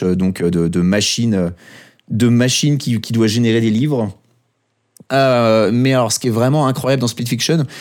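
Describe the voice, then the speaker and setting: A man's voice: medium pitch, a little mellow. A man co-hosting a casual podcast, talking with frequent hesitations and fillers but enthusiastic. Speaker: podcast co-host.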